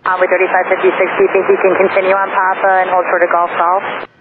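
Male tower controller, high voice, brisk and helpful; tinny radio with hiss. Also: completely flat delivery. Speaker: tower air traffic controller. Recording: static-filled radio transmission.

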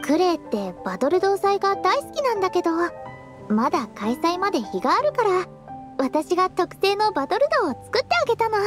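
A woman voicing a sweet, earnest teenage girl priestess in a Japanese anime game, gentle, girlish and a little shy. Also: very high voice. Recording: studio voice over game music.

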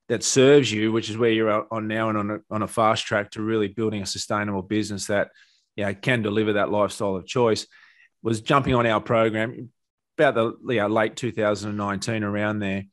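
A man's voice: on the low side, a bit bright, no room sound, studio quality. A man working as a business coach, talking conversationally and explaining as he interviews a client. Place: small home office on a video call. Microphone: headset or desk mic, close.

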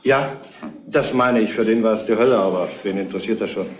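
An actor playing a matter-of-fact, gossipy roadhouse witness in a retro crime radio play. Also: male voice, on the low side, fairly quick, close to an everyday voice. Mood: offhand.

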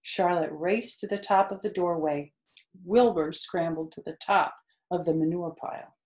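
A woman's voice: fairly low pitch, normal speed, darkish tone. A middle-aged woman reading a children's book aloud and explaining it simply to young listeners. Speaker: storytime host. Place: small furnished room at home, indoors. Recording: roomy, echoey home recording.

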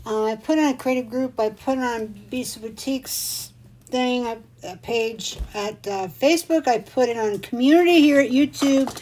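An older woman with a slightly hoarse, muffled voice, chatting in a folksy, rambling way as she hosts a craft live stream. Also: fairly high pitch, normal speed, darkish tone, a little hiss or hum.

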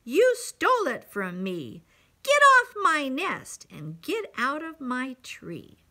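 A woman's voice: high-pitched, wildly swooping pitch, slow, vocal fry, fairly bright tone, voice pushed up, exaggerated voice. A woman voicing a lazy, brazen female bird in a children's storybook, exclaiming brashly. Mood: indignant.

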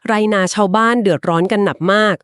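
A woman co-hosting a podcast, retelling an old legend conversationally and explaining it at length, with polite particles and short filler pauses. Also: medium pitch, dry recording, clean studio sound. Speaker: podcast co-host. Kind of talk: conversational storytelling of a folk legend.

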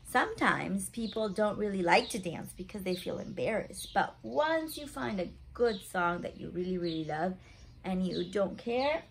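A woman's voice: mid-range voice, very animated, normal speed, gravelly, slight background noise. A woman in her thirties reading stories aloud to young children, adding chatty asides and simple questions for her little listeners. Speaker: story time reader for children. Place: home living room, indoors.

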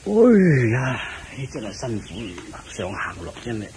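A man's voice: lowish voice, normal speed, lightly acted. A man with a Hong Kong Cantonese accent playing a fat, fussy king in a radio drama, sighing and grumbling as if weary of the heat. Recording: clear background noise.

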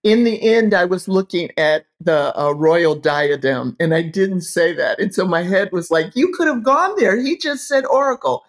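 A woman's voice: on the low side, expressive, normal speed, few pauses. A middle-aged woman, a professor co-hosting, speaking earnestly and in winding sentences over a video call from a room with bookshelves. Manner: earnest, winding, many fillers. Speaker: seminary professor and podcast co-host. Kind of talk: conversational discussion. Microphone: webcam on video call.

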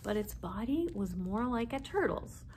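A woman's voice: mid-range voice, big pitch swings, normal speed. A woman in her forties reading a children's story aloud as narrator, seated indoors a short way from the camera. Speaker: library story reader. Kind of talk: children's book read-aloud. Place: small indoor room, seated. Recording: faint background noise.

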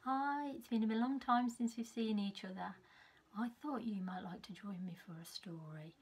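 A woman in her fifties reads a children's story in a measured, expressive way, with a slightly husky voice. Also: medium pitch. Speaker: teacher reading a storybook to young children. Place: small furnished room at home, indoors.

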